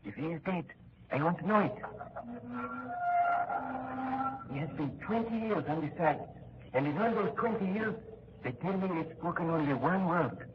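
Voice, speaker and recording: Man playing a brusque French jailer, higher-pitched. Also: animated, fairly quick, close to an everyday voice. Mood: firm, brusque. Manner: scolding, brusque. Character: gruff, impatient, bossy French male prison jailer. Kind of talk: 1930s radio acting. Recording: dull, noisy 1930s radio recording.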